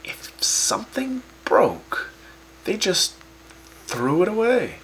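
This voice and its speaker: A man's voice: sits high, takes it slowly, creaky, slight background noise, exaggerated voice, rough recording. A man voicing a brash, opinionated radio host who teases his guests and makes swaggering speeches, in a fan radio drama. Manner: swaggering, teasing, lively jokes and speeches. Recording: music playing behind.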